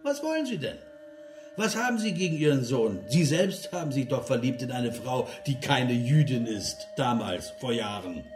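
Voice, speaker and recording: A man's voice: mid-range voice, sing-song, moderate pace, darkish tone, lightly acted. An actor playing a cynical, drinking party guest in a radio play, sardonic and mock-polite as he hammers out his sentences. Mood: sardonic, needling. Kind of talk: German radio play acting. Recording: roomy interior, music behind.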